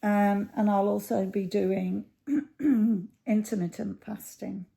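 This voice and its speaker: A middle-aged woman with a croaky voice, chatting casually to camera at home, rambling with many ums and pauses. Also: mid-range voice, steady tone, warm tone. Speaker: cross stitch YouTuber.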